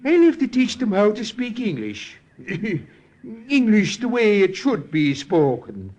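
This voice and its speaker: A fatherly missionary priest in an old 1940s radio drama. His voice is fairly high and very animated, with a gentle teasing manner. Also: slow pace, dull audio, a little hiss or hum, full character voice. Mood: jovial, gentle. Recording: vintage radio drama recording.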